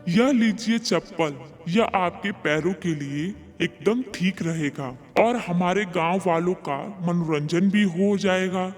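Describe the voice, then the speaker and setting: Guy doing cartoon village folk, high male voice, slow lines, reverb and music. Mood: mocking. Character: earnest male storybook characters: villagers, sage, reporter. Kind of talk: Hindi cartoon story dubbing. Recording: long reverb, music behind.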